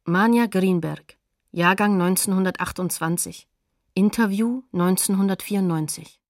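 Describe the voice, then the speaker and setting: A woman's voice: medium pitch, moderate pace, no echo, clean studio sound. A woman announcer reading neutral, scripted source credits in a radio documentary.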